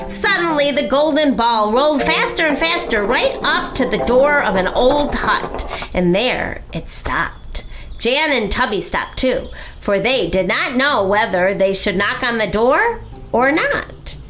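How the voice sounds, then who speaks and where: A woman narrating a children's storybook as a library storyteller, in a dramatic, deliberate and expressive way. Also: a little high, faint music behind.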